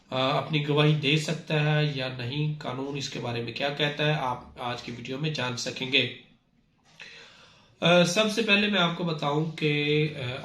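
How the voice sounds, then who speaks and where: A man's voice: mid-range voice, fairly bright tone. A man with a Pakistani accent giving an informal explanatory lecture, didactic and repeating his points as a presenter. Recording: echoey, reverberant room sound.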